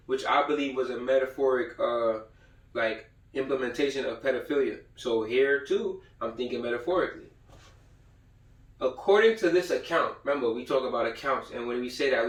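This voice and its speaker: A man giving an informal lecture, reading passages aloud and commenting in blunt, profane slang, repeating himself for emphasis. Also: medium pitch. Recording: roomy echo, far from the mic.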